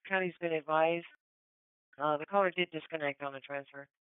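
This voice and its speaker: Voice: mid-range voice, fairly even intonation, brisk, smooth, no room sound. An American police dispatcher relaying procedural traffic over a two-way radio in a clipped, businesslike way. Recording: thin phone-like police scanner radio.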